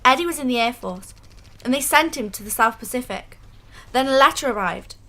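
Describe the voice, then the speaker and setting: Radio drama role: a woman as an earnest young female fan, high and bright, hesitant and self-effacing. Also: animated, fairly quick. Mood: wistful, earnest. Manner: hesitant, stammering.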